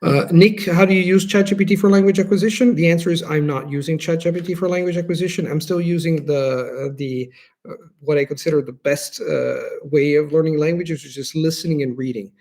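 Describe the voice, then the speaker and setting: Italian man with a gravelly voice. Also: fairly high pitch, fairly even intonation, brisk, darkish tone. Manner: explanatory, earnest, restarts phrases while thinking. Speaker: host answering viewer questions. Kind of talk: live-stream Q&A, unscripted.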